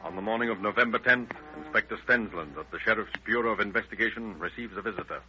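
A man's voice: on the low side, fast, natural voice. A man acting as the narrator of an old radio crime drama, in scripted storytelling style. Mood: matter-of-fact. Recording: dull vintage radio recording. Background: loud music.

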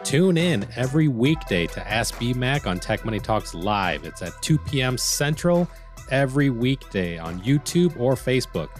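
A man's voice: mid-range voice, big pitch swings, moderate pace, faint music behind. A man hosting a podcast, upbeat and encouraging, affirming his guest and reading the show's outro. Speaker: podcast host. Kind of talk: casual interview hosting and outro.

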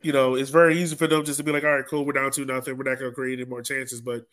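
A man's voice: mid-range voice, little pitch movement, rapid-fire, a bit bright. Casual male sports podcast host. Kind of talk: casual soccer match analysis.

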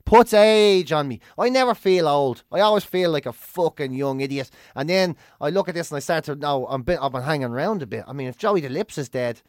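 A man's voice: a little high, animated, brisk, few pauses, no room sound, clean studio sound. A middle-aged man from Dublin with an Irish accent, chatting casually as a co-host and telling stories in an informal, repetitive, sweary way. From Dublin, Ireland. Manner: informal, swearing, repeats phrases for emphasis. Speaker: co-host of a talk show. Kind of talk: casual comic storytelling chat.

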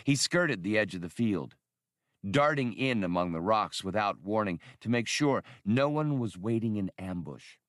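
A man's voice: fairly low pitch, expressive, normal speed, a bit bright, no room sound, clean studio sound. A man reading scripted narration from a science fiction adventure novel as an audiobook narrator.

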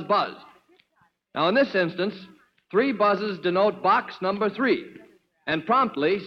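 An actor playing a modest, eager young rookie fireman in a 1940s radio drama. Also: sits high. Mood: instructive. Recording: dull old radio recording.

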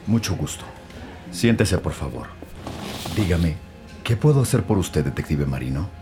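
An actor playing a curt, dismissive political party official, formal and guarded, in an audio drama. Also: male voice, deep voice, expressive, normal speed, over music. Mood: formal, brisk.

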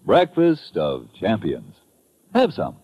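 Vintage radio pitchman, American man, wildly varied intonation, slightly husky, emphatic, folksy, on a dull old recording. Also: wildly swooping pitch, no room sound. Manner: emphatic, folksy, drawn-out selling. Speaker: commercial announcer. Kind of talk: 1950s radio advertising pitch.

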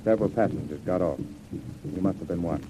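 A man's voice: low-pitched, quick pace, close to an everyday voice. A man playing a grave, wary major on a secret mission, in old radio adventure serial style. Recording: noisy old radio recording.

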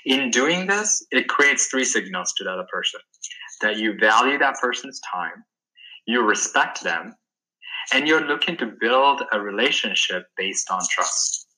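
Bright-toned man from Sri Lanka. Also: medium pitch, animated, unhurried, low-quality recording. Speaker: guest, wellness coach. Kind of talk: interview answers.

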